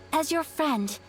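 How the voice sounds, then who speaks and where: A refined young noblewoman in a game, with a high, soft voice. She is earnest, tender and graceful, speaking at a slow, measured pace over music. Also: full character voice. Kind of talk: video game voice acting.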